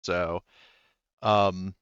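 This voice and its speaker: A man running a tabletop roleplaying game as game master, talking in a halting way with many pauses and restarts. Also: sits low, level tone, a bit bright, clear, resonant tone, no echo, lo-fi sound. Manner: halting, lots of ums and restarts.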